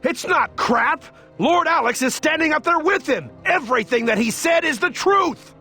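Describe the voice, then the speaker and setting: A man's voice: very high-pitched, lively intonation, moderate pace, sharp tone, faint music behind, full character voice. A man playing an indignant, credulous townsperson in a dramatic fantasy game scene.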